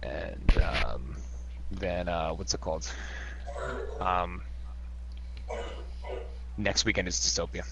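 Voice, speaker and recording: A very low-voiced man chats casually as a player in a game group. He halts often and rambles with fillers. There is a faint hiss in the background. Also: animated, gravelly. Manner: rambling, halting, many fillers. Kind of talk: casual chat.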